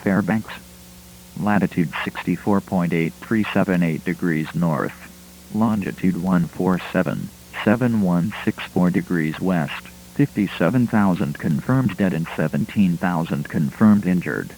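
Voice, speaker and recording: Very low-pitched male emergency announcer. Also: unhurried, darkish tone, a little hiss or hum, poor audio. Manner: formal, impersonal. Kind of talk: scripted civil emergency alert announcement.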